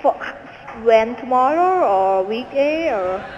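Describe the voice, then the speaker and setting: Female restaurant employee, halting casual phone talk, replayed in a reverberant hall. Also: fairly high pitch, animated. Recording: phone call replayed in echoing hall, faint noise.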